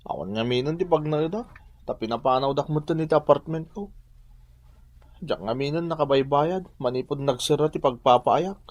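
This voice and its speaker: An actor with an Ilocano accent playing a proud, neglectful grown son in a radio drama. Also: male voice, on the high side, normal speed, no room sound, highs cut off, lightly acted. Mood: ashamed, pleading.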